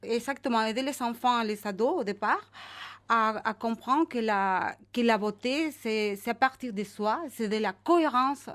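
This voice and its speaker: A woman's voice: fairly high pitch, normal speed, a bit bright. A native Spanish-speaking woman with a Chilean accent, answering a radio interview as a guest, searching for words in hesitant non-native phrasing. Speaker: interview guest, image coach.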